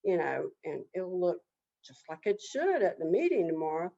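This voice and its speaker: A woman playing a sly male rabbit trickster in a folk tale, smooth-talking, folksy and casually reassuring. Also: lowish voice, big pitch swings, moderate pace, dark tone, lo-fi sound, heavily acted. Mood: falsely reassuring.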